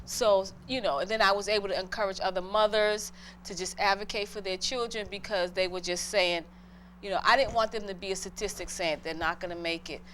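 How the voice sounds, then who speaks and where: A middle-aged woman from Boston speaks as an interview guest, talking earnestly and from the heart about her own path. Her voice sits at an ordinary pitch for a woman. A faint noise hums underneath. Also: fairly even intonation, brisk, fairly bright tone. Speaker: guest entrepreneur. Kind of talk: interview, personal story.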